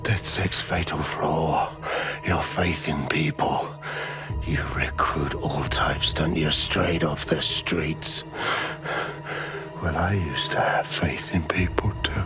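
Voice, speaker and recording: An actor playing a menacing, world-weary militia boss in a game, talking slowly and tauntingly. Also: male voice, very deep voice, steady tone, music in the background. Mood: weary contempt. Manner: slow, breathy, taunting. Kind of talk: dramatic game cutscene acting.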